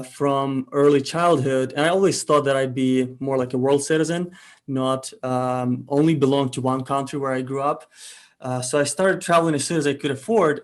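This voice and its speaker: Guest: young man, mid-pitched, unhurried, thoughtful pauses. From Ukraine. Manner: relaxed, thoughtful, frequent "uh" pauses. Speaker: guest entrepreneur. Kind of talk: casual interview storytelling.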